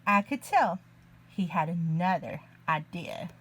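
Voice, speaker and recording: A woman reading a picture book aloud to young children in an expressive, deliberate way. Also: on the low side, breathy edge. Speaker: children's story reader, early learning teacher.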